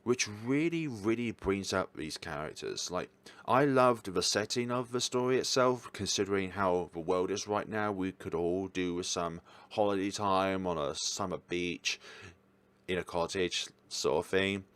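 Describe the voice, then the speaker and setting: Low-pitched man, rambling casual reviewer. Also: measured pace, a bit bright, clear, resonant tone. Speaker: host reviewing visual novels. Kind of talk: casual chatty ranking commentary.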